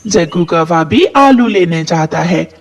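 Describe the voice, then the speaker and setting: A woman narrates a children's tale as a storyteller. Her pace is slow and measured, and her pitch moves up and down in an animated way.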